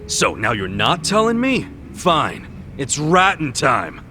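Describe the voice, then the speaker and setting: A man's voice: fairly high pitch, huge pitch swings, slow, noisy background, full character voice. An actor playing a tough, streetwise but decent man in an English video game dub, talking in casual slang. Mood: taunting. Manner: casual, slangy, plain-spoken.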